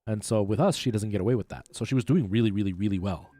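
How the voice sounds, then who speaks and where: A man's voice: on the low side, fast talker, dark tone, no room sound. A man, chatting casually as he streams a retro video game. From Canada. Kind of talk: casual chat while playing a retro game on a livestream. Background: faint game music.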